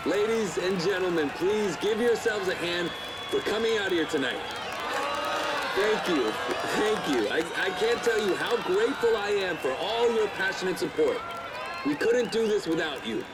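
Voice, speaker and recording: Over a loud, noisy crowd, a polished male politician in a video game. He addresses supporters in formal campaign style, gracious and measured. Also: sits high, expressive. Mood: grateful. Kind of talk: formal public campaign speech. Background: loud crowd, outdoors.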